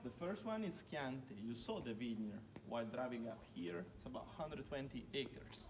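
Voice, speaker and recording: A man's voice: medium pitch, lively intonation, moderate pace. A young Italian man with an Italian accent, giving a chatty winery tour outdoors and piling up "very" for emphasis. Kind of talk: guided tour talk to a group. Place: outdoors in a stone village street. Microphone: phone camera recording from within the crowd. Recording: distant phone audio with loud outdoor noise.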